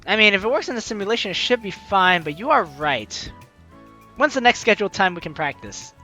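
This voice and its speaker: A woman's voice: mid-range voice, expressive, fairly quick, sharp tone, dull audio. A woman doing a playful, slangy let's play, reading dialogue aloud and commenting casually. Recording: faint music behind the voice.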